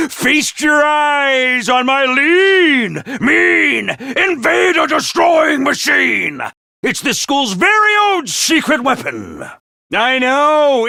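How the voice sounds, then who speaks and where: A man voicing a small, bossy male robot commander in a video game, theatrical and self-important, prone to shouting. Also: very animated, drawn-out words, gravelly, dry recording, clean studio sound, heavily acted. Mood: boastful. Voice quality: squeaky, over-the-top. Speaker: voice actor. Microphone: dry studio mic.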